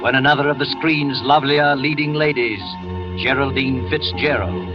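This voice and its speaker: Ominous old-radio narrator, man over loud music. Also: medium pitch, moderate pace. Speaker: narrator and announcer of a suspense show. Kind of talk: 1940s radio announcing, scripted narration. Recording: muffled vintage radio broadcast over music.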